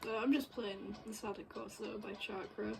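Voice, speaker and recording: A woman giving casual, unscripted commentary while working on screen, rambling and halting as she goes. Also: medium pitch, fairly even intonation, vocal fry, music in the background. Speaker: game developer recording a work-in-progress video. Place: bedroom, indoors.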